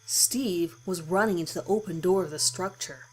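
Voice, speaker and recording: Low-pitched woman narrating a horror story over music. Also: moderate pace, husky, rough recording. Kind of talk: dramatic first-person scripted narration. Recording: music behind the voice.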